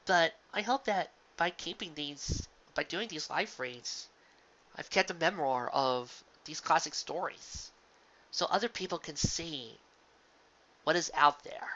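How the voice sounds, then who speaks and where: A bright, forceful voice speaks slowly and earnestly to an audience. The delivery is halting and sentimental, leaning hard on key words with dramatic pauses. It sounds like a dull, lo-fi home recording. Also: mid-range voice. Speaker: live reader of fan fiction. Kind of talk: earnest spoken commentary to an audience.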